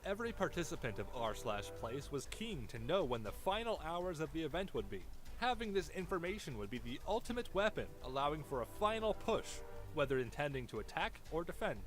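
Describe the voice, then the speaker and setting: A man narrates from a script in an earnest, dramatic documentary style. His voice sits fairly high and moves in a very animated way, with clear music playing underneath. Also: fairly quick. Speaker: narrator of an online video.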